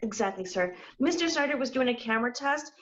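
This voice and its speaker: A woman's voice: fairly high pitch, lively intonation, brisk, natural voice. A woman playing a precocious, eager young production assistant in a screenplay read. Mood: matter-of-fact. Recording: dull lo-fi online call audio.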